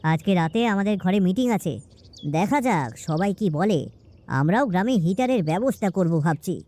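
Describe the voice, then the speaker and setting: A woman's voice: medium pitch, expressive, fairly quick, mellow, close to an everyday voice. A woman with a West Bengal accent voicing an earnest, worried village husband in a cartoon, with a plaintive manner. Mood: thoughtful, worried.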